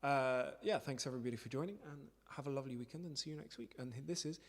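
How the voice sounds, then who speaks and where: A man's voice: medium pitch, fast talker, a little hiss or hum. A man hosting a livestream living room concert, chatting casually and organising his fellow musicians. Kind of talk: casual chat between songs, addressing online viewers.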